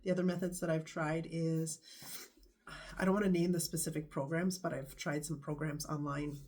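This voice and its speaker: Stuffy-nosed vlogger, mellow tone. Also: medium pitch, little pitch movement. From United States. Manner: rambling, hesitant, many pauses. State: stuffy nose from a bad cold. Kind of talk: casual talking-to-camera vlog.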